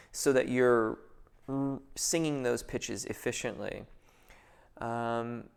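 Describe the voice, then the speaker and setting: A man's voice: medium pitch, vocal fry. A man answering viewer questions as a vocal coach in a casual live Q&A, thoughtful and hesitant, with many fillers and restarts before careful technical explanations.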